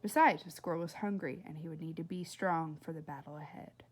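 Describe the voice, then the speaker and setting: A woman reading a children's book aloud like a teacher, in an animated, expressive way with chatty asides to her listeners. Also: deep voice, fairly quick, husky. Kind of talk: animated read-aloud narration.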